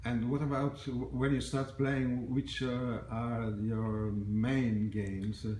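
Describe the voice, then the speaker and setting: A man's voice: mid-range voice, flat delivery, slow pace, darkish tone. A man interviewing a guest at an event, with hesitant, non-native phrasing and short prompts. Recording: roomy echo, faint noise.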